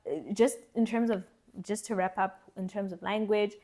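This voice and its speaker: A young woman from South Africa giving a candid, reflective vlog monologue, earnest and thoughtful, often pausing and restarting her words. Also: medium pitch, mellow. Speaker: vlogger.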